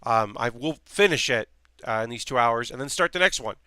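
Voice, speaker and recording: A man's voice: medium pitch, expressive, brisk, pushed and bright, clean tone, no room sound. Chatty man with a slightly worn voice. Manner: rambling, chatty, many fillers and restarts. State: slightly losing his voice. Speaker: streamer building model kits. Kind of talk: casual live-stream chat while building.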